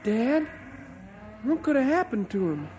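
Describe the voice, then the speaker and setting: An actor voicing an earnest young son in an old radio drama, with a high boyish voice. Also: male voice, big pitch swings, unhurried, background noise, heavily acted. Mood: worried. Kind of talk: 1950s radio drama acting. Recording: old radio recording with music.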